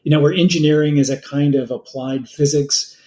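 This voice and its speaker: A man's voice: a little high, fairly even intonation, a little fast, warm tone. A middle-aged man, a podcast guest, telling stories in a friendly way with self-deprecating humor. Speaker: guest, author and educator. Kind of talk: casual interview answers.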